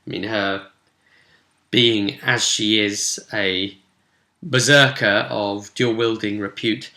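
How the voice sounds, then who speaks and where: Bright-voiced man, unhurried, in an echoey room. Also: medium pitch, creaky. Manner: animated, jokey, self-interrupting. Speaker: game master and vidcast host. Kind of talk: casual rambling recap of a tabletop role-play session. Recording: echoey, roomy sound.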